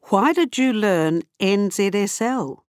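A woman narrating an article and reading its interview questions in a plain, steady way. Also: medium pitch, slow, smooth, dry recording, clean studio sound. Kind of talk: scripted read-aloud.

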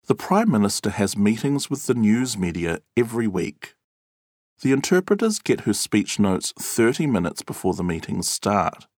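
A man reading an interviewee's answers aloud in a clear, measured and expressive way. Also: lowish voice, no room sound, studio quality. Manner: clear, measured and expressive, acting out example words. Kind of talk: scripted read-aloud.

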